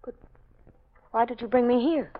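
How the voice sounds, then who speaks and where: A woman's voice: fairly high pitch, normal speed, natural voice. An actress playing an anxious but determined young woman in an earnest, breathless 1940s radio mystery style. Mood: alarmed. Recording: dull, noisy old radio recording.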